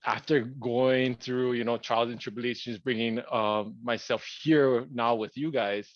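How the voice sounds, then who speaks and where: A man's voice: medium pitch, fairly even intonation, dry recording. Guy, rambly podcast chat, dull dry lo-fi sound. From Hawaii. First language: Hawaiian. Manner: thoughtful, rambling, many pauses and restarts. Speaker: podcast co-host.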